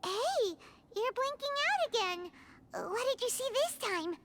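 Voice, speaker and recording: A woman voicing a tiny, excitable childlike fairy companion in a squeaky, exclamatory game performance. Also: a little slow, exaggerated voice. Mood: curious. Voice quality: squeaky, shrill. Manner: indignant, exclamatory, refers to self by name. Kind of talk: cartoonish game voice acting. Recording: clicky, very noisy game capture.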